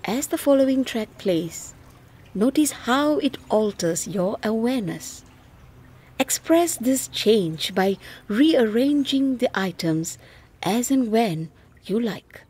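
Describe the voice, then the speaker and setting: A woman narrates as a guide through an audio art piece. Her voice is fairly high and husky with breath, and she gives each instruction at an unhurried, slow pace. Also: animated, a little mellow.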